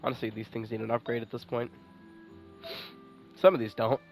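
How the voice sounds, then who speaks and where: A man's voice: medium pitch, flat delivery, brisk, creaky, soft background music, low-quality recording. A young man giving informal, rambling commentary as he plays a video game. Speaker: game streamer. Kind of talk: casual gameplay commentary.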